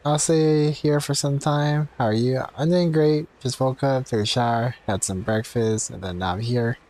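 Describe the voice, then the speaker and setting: A man's voice: medium pitch, steady tone, normal speed, darkish tone. A man livestreaming a video game and chatting casually with his viewers.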